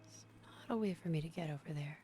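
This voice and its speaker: Brief: woman gamer, under the weather, squeaky voice, lower pitch, chatty. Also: quick pace, dark tone, a little hiss or hum. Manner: chatty, mutters and whispers to herself. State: sick, squeaky voice. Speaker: streamer. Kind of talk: casual gameplay commentary.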